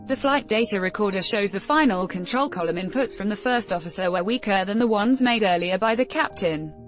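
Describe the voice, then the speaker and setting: A woman's voice: mid-range voice, animated, fairly quick. A woman reading a scripted news report aloud as narrator. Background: background music.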